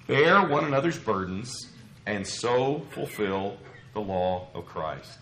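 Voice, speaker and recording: A man preaching an expository sermon in a measured, earnest way, his voice a little scratchy from a cough. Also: on the low side, expressive, a bit bright. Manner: measured, earnest, explanatory with rhetorical questions. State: slightly scratchy from a lingering cough. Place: church pulpit, roomy indoor space. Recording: echoey room.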